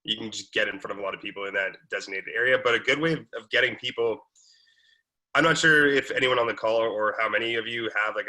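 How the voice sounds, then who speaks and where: A man's voice: medium pitch, bright, forceful tone, rough recording. A man, a real estate agent chatting casually on a call, full of likes and ums. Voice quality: raspy.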